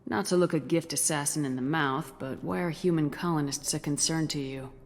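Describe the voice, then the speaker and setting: An actress playing a determined military spaceship commander in a video game, speaking in a direct, businesslike way. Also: female voice, deep voice, fairly quick. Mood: questioning, frank. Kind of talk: video game cutscene acting.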